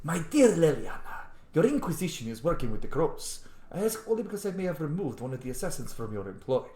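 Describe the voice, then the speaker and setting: A man's voice: a little high, very animated, fast talker, a little mellow. Man putting on a suave, teasing assassin, roomy sound. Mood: flirtatious, wheedling. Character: suave flirtatious male assassin writing to a friend.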